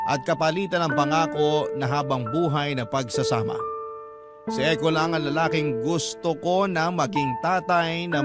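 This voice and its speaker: A man reading a first-person love letter as a dramatic radio storyteller. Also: on the high side. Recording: prominent background music under narration. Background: loud instrumental music bed.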